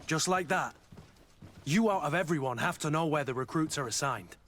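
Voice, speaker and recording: An actor playing a hardened, protective army captain in a video game, talking in a terse, blunt way. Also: male voice, on the high side, lively intonation, moderate pace, a bit bright, slight background noise. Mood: urgent, demanding. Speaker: soldier, captain. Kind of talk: dramatic video game acting.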